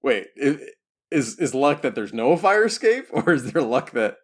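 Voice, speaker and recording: Animated man playing a tabletop game. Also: medium pitch, moderate pace. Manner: wry, jokingly defensive. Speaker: player in a role-playing game. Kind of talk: casual tabletop game chat.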